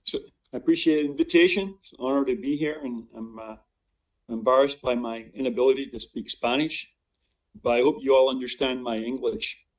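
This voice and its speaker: A man's voice: mid-range voice, fairly even intonation. An older man with a Scottish accent giving an informal academic lecture, often breaking off and repeating words as he goes. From Scotland. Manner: halting, with frequent self-interruptions and repeated words. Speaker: visiting professor giving a seminar. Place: remote online seminar. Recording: distant mic on online seminar.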